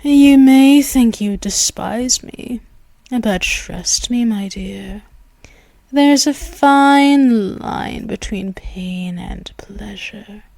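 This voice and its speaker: A woman voicing a dominant, possessive female vampire in an ASMR roleplay, speaking softly and often in a hushed whisper close to the mic. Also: fairly high pitch, extremely slow, gravelly, no room sound, exaggerated voice. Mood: seductive. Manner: commanding and purring. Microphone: close mic, intimate.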